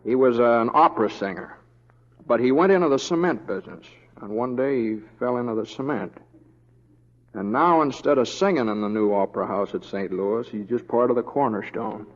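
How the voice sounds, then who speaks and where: A man's voice: medium pitch, fairly quick, big-room sound, natural voice. Folksy, hesitant western deputy, acted by a man. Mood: firm, storytelling. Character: easygoing, wry, folksy western deputy with steel underneath. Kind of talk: 1940s radio acting. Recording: dull old radio recording.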